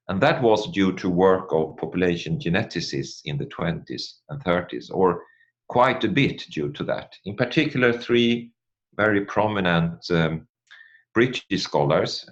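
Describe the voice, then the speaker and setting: A middle-aged man from Sweden with a Swedish accent gives an academic presentation, speaking haltingly and often repeating words as he searches for the next phrase. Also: sits low, highs cut off. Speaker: academic guest speaker, population geneticist.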